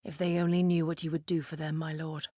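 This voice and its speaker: An actress playing a proud, resolute retainer of a lord, speaking formally and earnestly in a video game cutscene. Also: female voice, deep voice, flat delivery, fairly quick, mellow, no room sound, studio quality. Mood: solemn, resolute. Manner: formal, archaic, earnest diction.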